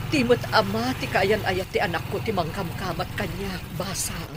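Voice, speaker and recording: Radio drama role: actress as protective older mother, mid-pitched voice, pleading and heartfelt. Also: moderate pace, noisy background, natural voice. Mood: distressed, pleading. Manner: pleading, emotional. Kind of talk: Ilocano radio drama acting.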